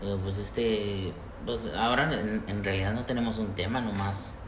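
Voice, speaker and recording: A young Mexican-American man with a low voice co-hosts a laid-back podcast, hesitating often and slipping into English. His voice sits in a roomy echo with a faint hiss of background noise. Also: steady tone. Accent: Mexican-American Spanish, Spanglish. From United States. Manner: halting, many fillers, mixes English into Spanish. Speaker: podcast co-host. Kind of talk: casual bilingual chat. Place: workplace.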